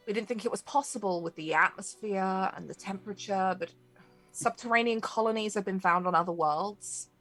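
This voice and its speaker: A woman's voice: on the low side, fairly bright tone. A woman playing a grave, weary Vulcan captain.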